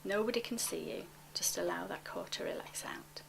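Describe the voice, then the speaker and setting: Soft, creaky-voiced woman guiding relaxation. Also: mid-range voice, rough recording. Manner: gentle, unhurried, instructing, often trailing into near-whisper. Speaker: relaxation coach. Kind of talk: guided relaxation, spoken softly to one listener.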